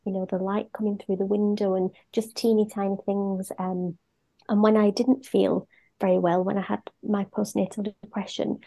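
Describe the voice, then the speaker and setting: Mom guest, soft warm voice, thoughtful chat with lots of ums. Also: female voice, medium pitch, level tone, normal speed, gravelly, rough recording. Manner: gentle, reflective, frequent fillers. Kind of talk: casual conversation.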